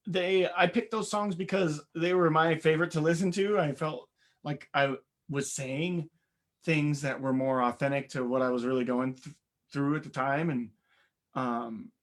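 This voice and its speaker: Young man, raspy voice, modest and rambling interview guest, mid-range pitch. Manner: modest, rambling, many fillers and restarts. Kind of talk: casual interview chat.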